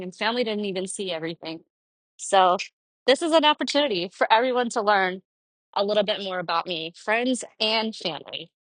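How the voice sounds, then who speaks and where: A woman's voice: mid-range voice, a bit bright, dry recording, poor audio. A young woman from Florida hosting her own podcast, talking casually about her life in a rambling, conversational way with lots of fillers. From Florida, United States. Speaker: podcast host. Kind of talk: casual personal monologue.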